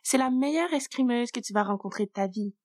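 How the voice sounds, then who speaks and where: A woman voicing a powerful, intimidating coven mistress, speaking slowly and deliberately. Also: on the high side, expressive, darkish tone, no echo, clean studio sound, voice pushed up, close to an everyday voice. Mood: commanding.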